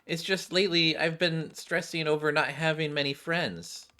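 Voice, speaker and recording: A man puts on an earnest, lonely schoolgirl for a game scene. The phrasing is unsure and questioning, with a fairly high pitch and a bright edge. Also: steady tone, normal speed.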